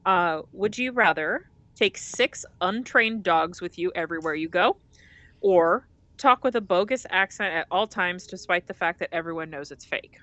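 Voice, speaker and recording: A woman's voice: fairly low pitch, moderate pace, fairly bright tone, no room sound. A woman casually chatting on a game stream, rambling with lots of fillers and giggles. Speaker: streamer and co-host.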